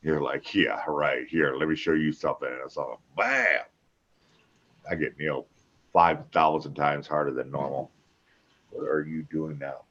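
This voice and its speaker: Deep-voiced man, trucker type, rambling folksy chat, dull lo-fi stream sound. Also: measured pace, fairly bright tone. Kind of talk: casual chat.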